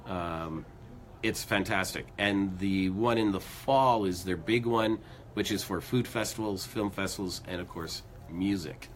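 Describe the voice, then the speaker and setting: A man co-hosting a music show in casual, rambling chat, a bit hoarse from losing his voice. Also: deep voice, a little slow, a little hiss or hum. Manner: rambling, upbeat, lots of fillers. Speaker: co-host of a music news show.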